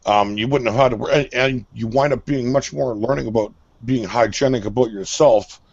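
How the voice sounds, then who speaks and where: A man's voice: medium pitch, moderate pace, vocal fry, a little mellow, slightly rough, no room sound, lo-fi sound. A man, a father, earnestly explaining his view in casual chat with fillers along the way. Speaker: parent.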